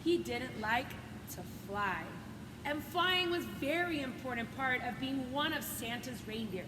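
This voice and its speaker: A woman's voice: high-pitched, expressive, measured pace. A woman reading a children's story aloud and adding simple, encouraging asides like a teacher. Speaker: coach reading a picture book to students. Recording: echoey reverberant room with loud background noise.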